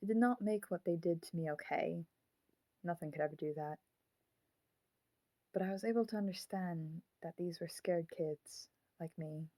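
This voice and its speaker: A woman voicing the grown-up ghost of a murdered child in a puppet, speaking haltingly and solemnly in an audio drama. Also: lowish voice, mellow, dry recording, lightly acted. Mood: subdued, reflective.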